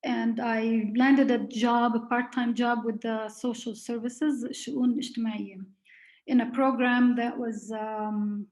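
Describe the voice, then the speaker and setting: A middle-aged woman, a therapist, offering reflective remarks in a group discussion in a hesitant, measured way. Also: on the high side, level tone, darkish tone.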